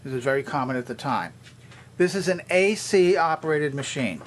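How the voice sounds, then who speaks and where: A man's voice: on the high side, lively intonation, moderate pace, slight background noise. An older man giving a methodical, hands-on explanatory tour as a repairer demonstrating equipment. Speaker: vintage electronics repairer and demonstrator.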